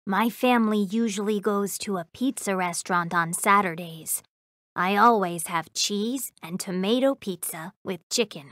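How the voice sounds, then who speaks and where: A woman's voice: mid-range voice, unhurried, fairly bright tone, dry recording, lightly acted. Woman voicing a friendly young schoolboy, dry recording. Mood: cheerful. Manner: careful, clearly enunciated, simple sentences. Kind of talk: scripted language-textbook audio reading.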